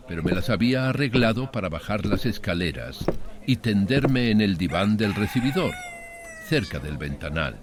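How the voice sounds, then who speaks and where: A man narrating a literary horror tale in a measured, dramatic audiobook style. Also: on the low side, a little hiss or hum. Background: background music.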